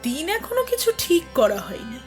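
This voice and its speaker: A woman's voice: sits high, sing-song, normal speed, faint music behind. A woman playing an anxious, doting middle-aged mother in an audio drama, speaking in a pleading way. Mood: calm.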